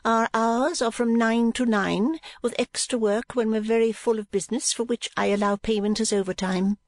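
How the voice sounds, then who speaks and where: A narrator voicing a haughty, pouting middle-aged businesswoman wife, imperious and sharp-tongued, in an audiobook. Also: mid-range voice, steady tone, no room sound, voice pushed up, lightly acted. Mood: brisk, imperious. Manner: imperious, brisk, put-upon.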